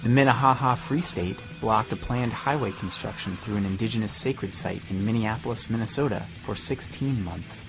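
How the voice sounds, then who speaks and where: A man's voice: on the low side, normal speed, gravelly, few pauses, over music. A man reading scripted documentary narration for a podcast.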